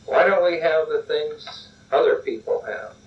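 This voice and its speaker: A man doing a nagging, accusing wife, pitched up above his own low voice. The recording is dull and lo-fi, and the voice sits back from the mic. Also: animated, measured pace, far from the mic. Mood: angry, nagging. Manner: scolding, accusing. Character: angry, nagging wife complaining about money.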